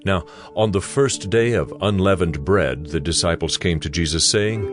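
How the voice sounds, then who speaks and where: Deep male scripture narrator. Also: soft background music. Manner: deliberate, expressive reading.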